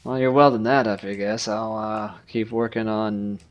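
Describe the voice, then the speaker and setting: A man's voice: lowish voice, steady tone, normal speed, lo-fi sound. A young man hosting a gameplay video, chatting casually with playful exasperation. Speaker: gaming video host. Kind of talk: casual gameplay commentary and banter.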